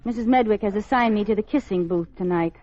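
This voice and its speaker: An actress playing a scatterbrained, wisecracking housewife in an old radio sitcom, with theatrical timing and flustered stammers. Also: female voice, mid-range voice, quick pace, dark tone, close to an everyday voice. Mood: worried. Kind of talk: 1950s radio sitcom acting before a live audience. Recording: muffled old radio recording with studio audience.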